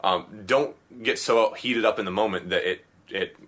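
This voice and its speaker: A man's voice: lowish voice, moderate pace, a bit bright. A man co-hosting a podcast, giving friendly advice in casual chat and dropping in lots of "you know". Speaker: podcast co-host.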